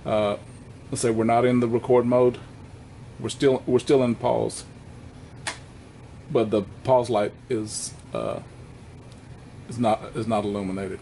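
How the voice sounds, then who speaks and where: A man presenting an unscripted hands-on equipment walkthrough in a plainspoken, halting way, correcting himself as he goes. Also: medium pitch, little pitch movement, creaky, dark tone, a little hiss or hum.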